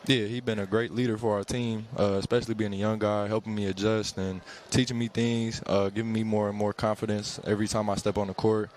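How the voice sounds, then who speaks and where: A man's voice: lowish voice, little pitch movement, brisk, few pauses, a little mellow, slight background noise. A young man, a rookie player, answering courtside questions politely in stock phrases, in an arena. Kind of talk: postgame courtside interview.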